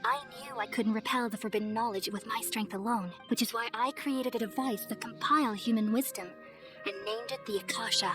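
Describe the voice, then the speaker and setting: A serene elder goddess played by a woman with a fairly high, gentle voice. She speaks in a measured, motherly and solemn way, as in an anime video game. Soft music runs underneath. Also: steady tone, lightly acted. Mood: reflective, solemn.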